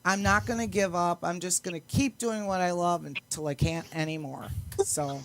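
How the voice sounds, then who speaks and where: A woman's voice: on the low side, normal speed. An older woman in casual chat, self-deprecating and often laughing as she talks. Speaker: guest, singer and entertainer.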